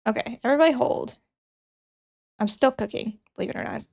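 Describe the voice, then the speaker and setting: A woman's voice: fairly high pitch, brisk, creaky, darkish tone. A young woman streamer who is sick and sniffling, chatting casually with her chat and thinking aloud as she works.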